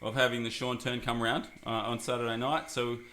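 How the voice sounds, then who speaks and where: A man's voice: mid-range voice, flat delivery, a little fast, pushed and bright. A man hosts a casual podcast, joking and rambling with lots of fillers. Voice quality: husky. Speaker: podcast host. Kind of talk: casual chat.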